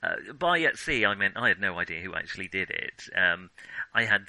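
A man's voice: deep voice, lively intonation, a little fast, pushed and bright, dry recording, low-quality recording. A man co-hosting a podcast, talking casually as he reviews films. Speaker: podcast co-host. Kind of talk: casual film review chat.

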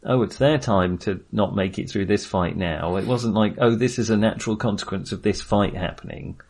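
A man co-hosting a film podcast in casual discussion, thinking aloud in a hesitant, halting way. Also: fairly low pitch, vocal fry, darkish tone, breathy edge.

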